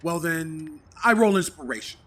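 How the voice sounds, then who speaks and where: A man's voice: on the high side, expressive, normal speed, a bit bright. A man acting a pompous, complaining tabletop gamer who plays a boastful paladin in a comedy audio drama. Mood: smug.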